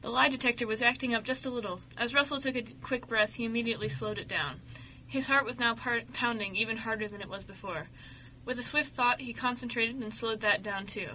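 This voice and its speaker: A woman narrating a scripted radio story dramatically, with expressive, emphatic delivery. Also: on the high side, brisk, rough recording. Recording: faint noise, amateur radio show recording.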